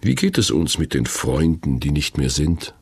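A man's voice: extremely low pitch, very animated, normal speed. A man in his fifties narrating a reflective, scripted first-person radio feature.

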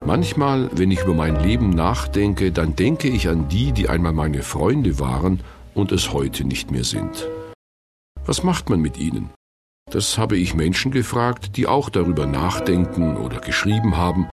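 A man's voice: low voice, very animated, unhurried, over music. A man in his fifties narrating a reflective, scripted first-person radio feature.

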